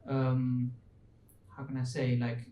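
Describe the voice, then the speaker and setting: A man's voice: completely flat delivery, slow pace, dark tone. A man who speaks English as a second language, reflecting hesitantly during a panel discussion, searching for his words. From outside Finland. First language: not English. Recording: echoey room, distant mic, low rumble.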